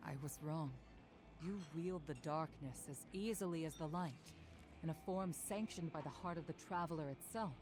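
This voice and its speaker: A woman playing a resolute, serious warrior mentor in a video game, speaking in a formal, deliberate, earnest way. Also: sits low, natural voice. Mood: solemn, hopeful. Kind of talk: dramatic video game dialogue. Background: prominent music.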